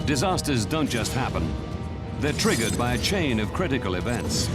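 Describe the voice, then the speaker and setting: A man's voice: mid-range voice, very animated, normal speed. A man with a British accent delivering dramatic scripted documentary narration. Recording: voice over very loud dramatic music. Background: loud dramatic score.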